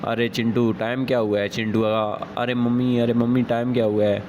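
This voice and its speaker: A man with a mid-pitched, flat voice improvises comic dialogue. He rambles and repeats himself, with faint noise behind him. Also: fairly quick. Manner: repetitive, rambling.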